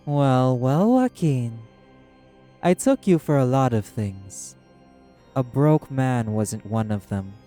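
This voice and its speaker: A man's voice: medium pitch, animated, slow, warm tone. A man playing a proud, condescending arch-demon casino owner in an audio roleplay, drawling and teasing with pet names. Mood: mocking. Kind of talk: dramatic audio roleplay acting. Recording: music under the voice. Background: music.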